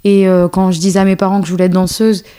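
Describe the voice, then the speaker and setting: Hesitant woman in her thirties, mellow voice. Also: fairly low pitch, level tone, quick pace, clean tone. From born in Algeria, raised in a French suburb. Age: 30s. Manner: hesitant, many fillers and restarts. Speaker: guest, choreographer and dance teacher. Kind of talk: casual conversational interview.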